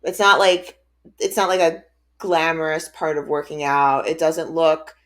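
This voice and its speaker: Late-thirties woman, podcast co-host, slightly low voice, chatty and upbeat. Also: flat delivery, a little slow. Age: 30s. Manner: chatty, upbeat, laughs often. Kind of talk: casual chat.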